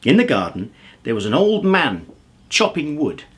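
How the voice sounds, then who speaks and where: A man reading a children's story aloud as an expressive storyteller. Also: fairly high pitch, normal speed. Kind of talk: animated children's story narration.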